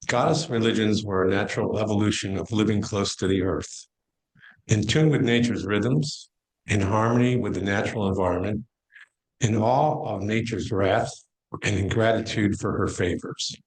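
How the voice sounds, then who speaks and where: A man's voice: fairly low pitch, normal speed, natural voice, rough recording. A man playing the formal narrator of an amateur stage play, reading expository lines with emphatic phrasing. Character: formal male narrator moderating guests. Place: church hall, live performance.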